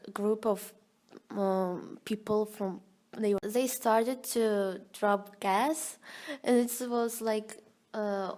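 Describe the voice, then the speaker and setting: A young woman student telling her story in a hesitant, halting way, full of fillers. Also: mid-range voice, a little mellow. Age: young adult. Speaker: university student interviewee. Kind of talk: interview answers.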